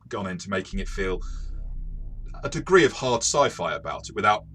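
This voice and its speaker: A man's voice: sits low, big pitch swings, a little fast, fairly bright tone, slight background noise. A man who reviews tabletop games and runs them himself, chatting casually with some self-correction and joking. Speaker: tabletop game reviewer and game master. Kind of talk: casual two-person review chat.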